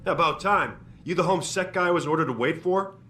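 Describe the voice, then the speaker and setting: A dry, offhand coroner. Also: male voice, fairly high pitch, brisk, bright, forceful tone, slight background noise. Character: weary, dry-humored male coroner.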